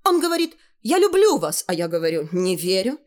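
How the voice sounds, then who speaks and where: A woman's voice: medium pitch, very animated, brisk, a little mellow, close to an everyday voice. A woman voicing a vain, cheeky, dramatic teenage girl in a told story, with a gushing singsong delivery. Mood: boastful.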